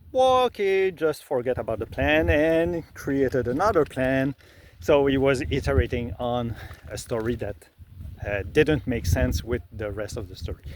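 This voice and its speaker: A man's voice: mid-range voice, slight background noise. A middle-aged man with a French accent, a native French speaker, explaining his process in casual talk with frequent fillers and restarts. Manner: hesitant, many fillers and restarts. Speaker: creator sharing his process.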